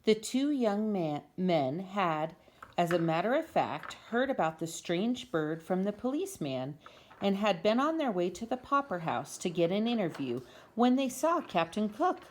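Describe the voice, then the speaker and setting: Very animated woman reading a storybook. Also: medium pitch, unhurried, a little hiss or hum. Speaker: parent reading aloud to family. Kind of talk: expressive storybook read-aloud. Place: at home.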